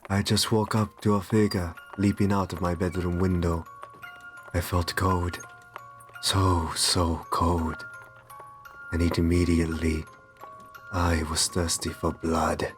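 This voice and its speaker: A man playing a courteous, possessive vampire master in an ASMR roleplay, soft-spoken, soothing and reassuring with genteel endearments. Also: low voice, little pitch movement, takes it slowly, pauses often. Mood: tender, solemn. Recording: music under the voice. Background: background music.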